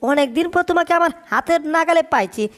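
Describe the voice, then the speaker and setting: High-pitched, blustering cartoon tomcat with , voice-acted. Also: lively intonation, fairly quick, fairly bright tone. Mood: angry, threatening. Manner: blustering threats and exclamations in colloquial speech. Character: scheming, hot-tempered male cartoon cat, boastful and flirtatious. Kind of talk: comic cartoon dubbing.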